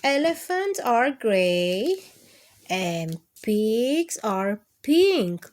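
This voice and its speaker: A woman hosting children's storytime, reading picture books aloud in an expressive, sing-song way and putting questions to the children. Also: fairly high pitch, drawn-out words.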